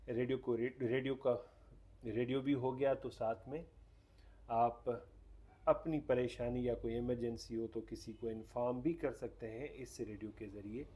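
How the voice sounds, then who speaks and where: A man's voice: medium pitch, fairly even intonation, normal speed, mellow. A man hosting a casual, hands-on product demonstration, explaining things step by step. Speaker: host demonstrating a radio product.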